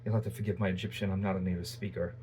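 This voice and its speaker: A man's voice: low voice, little pitch movement, very fast, mellow, slightly rough. A man teaching a Bible lecture in a conversational way, restarting sentences often and making self-deprecating asides.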